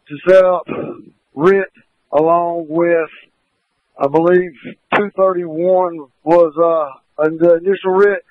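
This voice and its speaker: A man gives polite, halting orders as a fire incident commander over two-way radio. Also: fairly high pitch, no echo. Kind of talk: radio traffic at a fire scene. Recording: phone-like narrow-band radio transmission.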